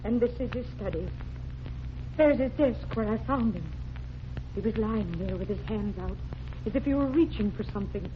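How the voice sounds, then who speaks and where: Actress as grief-stricken secretary, muffled vintage radio. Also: female voice, medium pitch, animated, moderate pace, pauses often, lightly acted. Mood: mournful, haunted. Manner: mournful, solemn, dramatic. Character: grief-stricken, protective, melodramatic devoted female secretary. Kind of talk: 1940s radio melodrama acting. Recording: noisy, muffled vintage 1940s radio recording.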